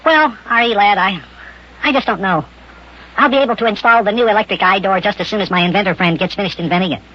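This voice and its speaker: Eager little boy, very fast chatter, raspy edge, cheeky and boastful, old radio serial, dull lo-fi sound. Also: high voice. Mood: self-important. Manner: chattering, boastful, plays at being important. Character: eager, mischievous little boy with big schemes. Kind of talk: 1950s children's radio serial acting.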